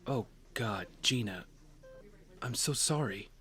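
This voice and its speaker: A man's voice: mid-range voice, lively intonation, slow, sharp tone, slightly rough, exaggerated voice. An actor playing a serious, determined man protective of his sister, in a naturalistic audio drama style. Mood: apologetic, concerned. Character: guilt-ridden, determined brother tracking his sister, protective and earnest.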